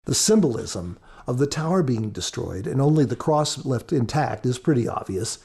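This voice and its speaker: A man's voice: mid-range voice, sing-song, normal speed, vocal fry, darkish tone, slightly rough. A man giving scripted podcast commentary as author and co-host, in an earnest, preacherly, explanatory manner.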